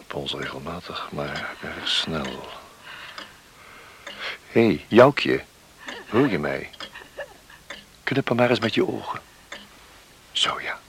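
Kindly village doctor, deep measured voice, noisy old radio drama. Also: male voice, expressive, a bit bright, full character voice. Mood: calm, reassuring. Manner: measured, reassuring. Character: calm, reassuring village doctor, practical and kindly. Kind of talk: Dutch radio play acting.

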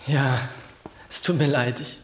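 An actor playing an earnest, polite, concerned young writer in a German radio drama, speaking a little hesitantly. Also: male voice, mid-range voice, flat delivery, unhurried, a little hiss or hum, lightly acted. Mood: apologetic, polite.

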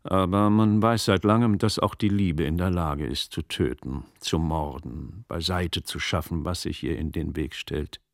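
A man playing a learned, brooding magister in a German radio drama, speaking deliberately and rhetorically. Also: low voice, vocal fry, no room sound, studio quality. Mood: grave. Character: learned, brooding older magister in old Italy.

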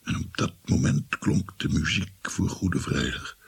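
An actor playing a shaken old grandfather who recounts a haunting memory in a dramatic, halting radio play narration. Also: deep voice, expressive, heavily acted. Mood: shaken, appalled. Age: older. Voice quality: husky, gravelly.

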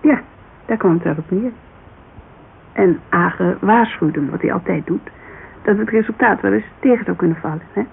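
A woman's voice: mid-range voice, normal speed, vocal fry, long pauses, natural voice. A woman playing a chatty, loyal businesswoman giving a witness account in a naturalistic radio drama, telling it informally with digressions. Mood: earnest, loyal. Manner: informal, digressive, animated storytelling. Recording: muffled, hissy old radio recording.

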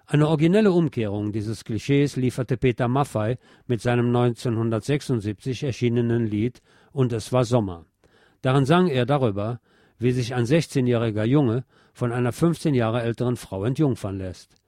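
A man's voice: mid-range voice, moderate pace, warm tone, no echo, clean studio sound. A man reading scripted narration as a podcast host.

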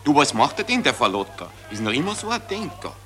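An actor playing a vapid, chatty Viennese officer in a 1940s German radio play. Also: male voice, a little high, very animated, brisk. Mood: idly curious. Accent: Viennese Austrian. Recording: vintage radio, music behind.

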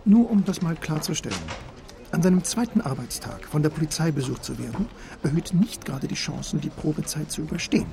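An actor playing a stern, pedantic call center boss, clipped, formal and reproving, in a radio crime drama. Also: male voice, fairly high pitch, expressive, brisk, vocal fry, noisy background.

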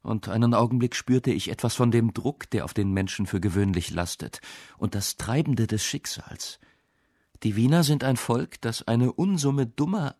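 A man plays a troubled young poet reading his letters for a radio essay. The voice is gravelly and sits at a medium pitch. The delivery is measured and reflective, recorded very dry. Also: a little mellow, dry recording, natural voice. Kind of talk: literary quotation in a radio essay.

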